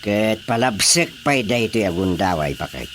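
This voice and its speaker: A man with an Ilocano Filipino accent playing a world-weary, principled village chairman in a radio drama comedy, grumbling in a drawn-out way. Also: fairly low pitch, animated, fairly bright tone, slight background noise. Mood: firm, reproachful.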